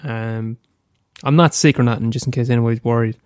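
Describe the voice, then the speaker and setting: A man's voice: mid-range voice, fairly even intonation, brisk, darkish tone, smooth, no echo, clean studio sound. A young Irish man with a head cold, reading aloud a short story he wrote, after a hesitant casual introduction. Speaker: amateur storyteller.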